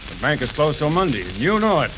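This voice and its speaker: A man's voice: on the high side, big pitch swings, fast. A man playing an anxious, easily flustered businessman in a 1930s radio comedy. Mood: firm. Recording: crackly, hissy 1930s radio recording.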